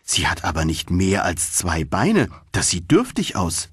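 A man's voice: low voice, wildly swooping pitch, a little slow, slightly rough, no room sound, studio quality, full character voice. Man voicing a snobbish, sneering lady beetle. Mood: disdainful. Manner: sneering, disdainful. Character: snobbish female cockchafer beetles, catty and disdainful.

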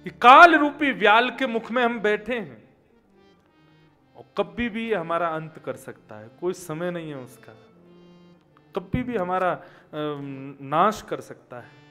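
A man's voice: high-pitched, lively intonation, slow pace, sharp tone, faint music behind. A man, giving a conversational devotional discourse full of rhetorical questions and anecdotes. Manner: conversational, asking rhetorical questions and answering them. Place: stage of a religious event, seated at a lectern. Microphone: gooseneck podium microphones.

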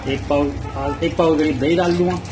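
A man's voice: fairly high pitch, steady tone, brisk. A man working as a cook, giving short practical answers about what he is making. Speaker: cook demonstrating and answering questions. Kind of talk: brief spoken answers while cooking. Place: working kitchen. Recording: loud noise, echoey working kitchen.

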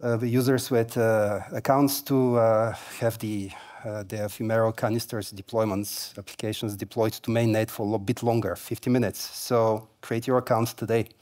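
A man's voice: on the low side, level tone, normal speed, darkish tone. A man in his forties giving a tech conference keynote on a large stage, speaking casually with many fillers and light humor through a headset mic.

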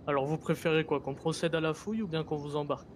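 A man's voice: on the high side, fairly even intonation, fast. A man role-playing a sheriff in an online game, giving formal, authoritative orders. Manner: formal, curt, authoritative commands. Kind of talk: improvised law-enforcement role-play. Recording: faintly noisy gaming voice chat.

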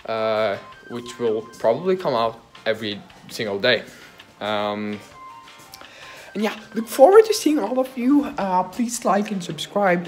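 A young man guest-hosting a vlog, talking playfully into a phone held at arm's length in a student dorm, rambling with lots of filler words. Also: mid-range voice. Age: young adult. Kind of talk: casual joking vlog chat. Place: student dorm kitchen and rooms, indoors. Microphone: handheld phone at arm's length.

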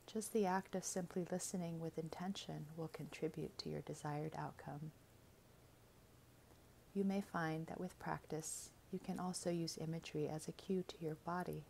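A woman reading a scripted guided meditation in a gentle, soothing, measured way, with soft, breathy phrase endings. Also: deep voice, a little hiss or hum.

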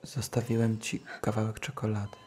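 A man's voice: fairly low pitch, monotone, moderate pace, a little mellow, natural voice. An actor playing an earnest little boy who questions everything in a plain, childlike way, in an audio drama. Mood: quiet, pensive.

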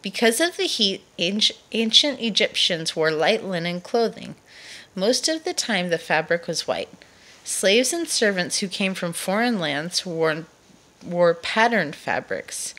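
A woman reading a children's nonfiction book aloud to a child in a measured storytelling delivery. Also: fairly low pitch, sing-song, bright, forceful tone.

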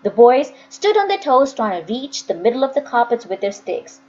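High, animated woman storyteller, slightly dull recording. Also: normal speed, a little hiss or hum. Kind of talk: expressive scripted audiobook reading.

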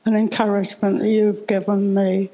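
A woman in her late eighties giving a halting, reflective personal testimony in an interview on a church stage. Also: mid-range voice, level tone, warm tone. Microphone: handheld microphone held close.

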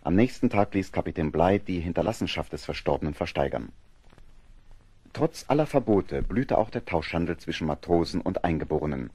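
A man narrating an audio drama from a script in a measured, factual storytelling manner. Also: sits low, expressive, dark tone, slightly rough, no echo.

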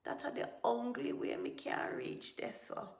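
A woman's voice: medium pitch, very animated, unhurried, a little mellow, voice pushed up. Woman doing a moaning, wheedling Caribbean trickster. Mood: feigned weakness. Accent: Caribbean Creole. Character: sly male trickster feigning deathly sickness. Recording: roomy, echoing recording.

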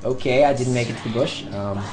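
A young man giving excited live gameplay commentary, shouting during fights and talking himself through moves, his voice going hoarse. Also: medium pitch, a little fast, soft background music. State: losing his voice.

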